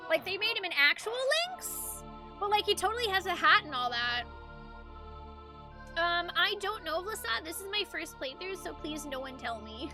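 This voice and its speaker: A woman's voice: extremely high pitch, lively intonation, moderate pace, music in the background. A woman streamer reading a game's story aloud as dramatic narration, with casual asides. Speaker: streamer narrating a game playthrough.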